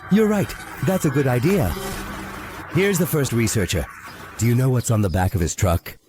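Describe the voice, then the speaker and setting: A man narrating a scripted story for young children, expressive and full of questions to the listener. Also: medium pitch, moderate pace. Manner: expressive, asks the viewer questions. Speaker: narrator of a children's toy video. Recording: music behind the voice. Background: music.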